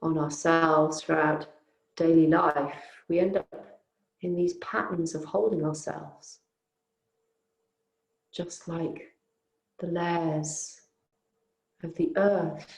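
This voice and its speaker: A woman teaching yoga nidra, guiding a relaxation in a soothing, unhurried, gently inviting way with long pauses. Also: sits low, steady tone, creaky, roomy sound, poor audio. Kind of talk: guided relaxation meditation.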